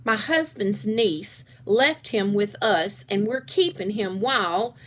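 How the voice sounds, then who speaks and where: A woman's voice: mid-range voice, slow pace, slight background noise, natural voice. Woman acting a brusque, impatient neighbour woman. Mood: crisp, curt. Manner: loud, impatient, crisp.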